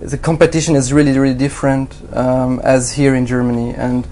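Male interviewee, halting, filler-heavy delivery, mid pitch, faint rumble behind. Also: little pitch movement, dark tone. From Switzerland. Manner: hesitant, many fillers and restarts, non-native phrasing. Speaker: graphic designer, interview guest. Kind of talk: halting conversational interview answers.

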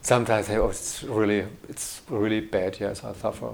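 A man's voice: lowish voice, steady tone, moderate pace, gravelly, a little hiss or hum. German man searching for English words. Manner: hesitant, searching for English words, many fillers and restarts. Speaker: graphic designer interviewee. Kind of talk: interview answers.

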